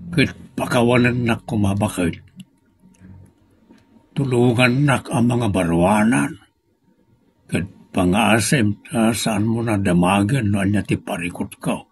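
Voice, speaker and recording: A man playing a troubled, ashamed older father in an audio drama, subdued and pleading, with an Ilocano accent. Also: fairly low pitch. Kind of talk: Filipino audio drama acting.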